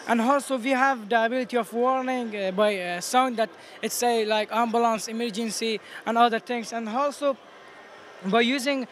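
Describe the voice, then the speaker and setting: A teenage male student with an Afghan accent explaining his project in an interview in halting non-native English. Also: very high voice, fairly even intonation, fairly bright tone. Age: teens. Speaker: student competition participant. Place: busy exhibition booth indoors. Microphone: handheld interviewer microphone. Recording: noisy event hall background.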